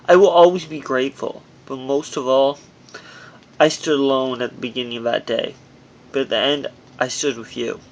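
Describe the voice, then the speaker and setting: A man's voice: mid-range voice, fairly even intonation, moderate pace, highs cut off. A man reading his own memoir aloud as scripted audiobook narration, in an earnest manner with occasional stumbles over words.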